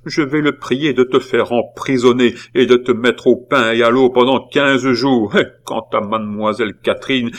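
A man's voice: on the low side, lightly acted. A man voicing a stern, suspicious old spinster aunt in a storybook reading, accusing and sententious. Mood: threatening, sententious. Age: older. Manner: accusing, sarcastic, indignant exclamations.

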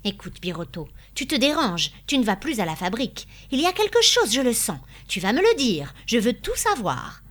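A woman's voice: a little high, animated, moderate pace, pitched above the performer's own voice, natural voice. Anxious, emphatic storybook wife, acted by a woman. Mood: alarmed, accusing. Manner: emphatic, pleading. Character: anxious, devoted wife of a ruined shopkeeper. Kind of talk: dramatised audiobook dialogue.

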